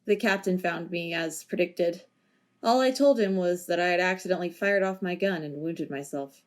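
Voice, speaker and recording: A woman's voice: fairly low pitch, lightly acted. A woman playing a retired policewoman in a wheelchair, dry and matter-of-fact in an amateur audio drama. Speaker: narrator and lead character.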